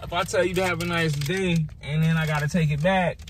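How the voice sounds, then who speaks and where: A man's voice: fairly high pitch, steady tone, fairly quick. A man chatting casually and bluntly in a car, swearing freely. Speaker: vlogger's husband joining in. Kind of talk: casual chat. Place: inside a car. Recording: noisy in-car recording.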